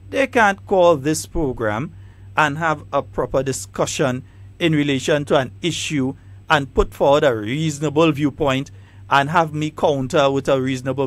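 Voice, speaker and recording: Emphatic Trinidadian-Grenadian talk host. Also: male voice, a little high, fairly bright tone, dry recording. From Trinidad and Tobago. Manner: emphatic, repetitive, combative. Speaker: radio talk show host. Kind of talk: talk radio commentary.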